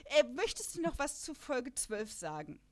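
Chatty higher-voiced woman. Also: sing-song, moderate pace. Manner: chatty, rambling, laughs often. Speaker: podcast co-host. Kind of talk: casual chat.

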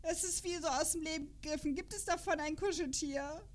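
A woman's voice: high-pitched, brisk. A woman hosting a casual podcast, chatting and reading out bits with playful, gushing exclamations. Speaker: podcast host. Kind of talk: casual podcast chat, reading out character profiles.

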